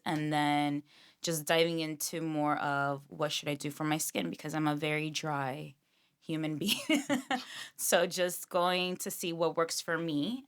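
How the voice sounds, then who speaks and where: Low, smooth-voiced woman guest. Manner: chatty and self-deprecating, laughs easily. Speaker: guest esthetician. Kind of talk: casual chat.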